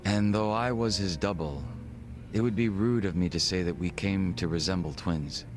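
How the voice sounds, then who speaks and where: A man voicing a refined, reserved gangster in a video game cutscene, speaking in formal, measured, polite phrases. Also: deep voice, music in the background, natural voice. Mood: wistful, composed. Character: refined, reserved Korean gangster, a former body double.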